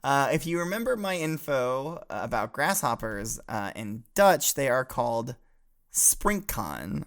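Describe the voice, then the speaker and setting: A man's voice: mid-range voice, sing-song, a little slow, a bit bright. A man hosting a comedic mock lesson as a professor, reading out fan letters with plenty of fillers and laughs. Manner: laughs often, hesitant fillers, reads letters aloud. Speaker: host playing a professor. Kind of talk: comedic improvised class roleplay.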